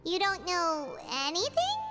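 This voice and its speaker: A woman voicing a tiny, cheeky, childlike fairy companion in a video game, talkative and squeaky. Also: big pitch swings, measured pace, over loud music, exaggerated voice. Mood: coaxing. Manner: refers to self in third person, squeaky.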